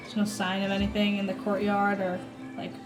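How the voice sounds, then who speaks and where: A woman's voice: mid-range voice, completely flat delivery, fairly quick, over music. A woman playing a tabletop roleplaying game, chatting and improvising in character.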